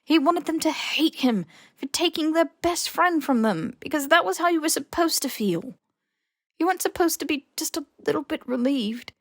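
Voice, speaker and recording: Lady reading a story out loud, expressive and dramatic, lots of vocal fry, super dry sound. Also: sits high, normal speed, no echo. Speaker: podfic reader. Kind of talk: expressive scripted fiction narration.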